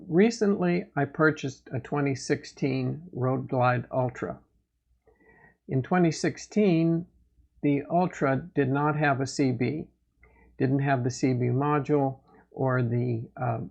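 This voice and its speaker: Male gear reviewer, unhurried with vocal fry, plain and measured narration, somewhat muffled lo-fi sound. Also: mid-range voice, darkish tone. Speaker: host of a motorcycle gear review channel. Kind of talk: product update narration.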